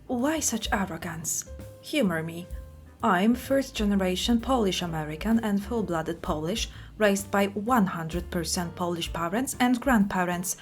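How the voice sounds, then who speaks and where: A woman's voice: mid-range voice, a little slow, soft background music. A woman reading viewer comments aloud and adding dry, sarcastic asides.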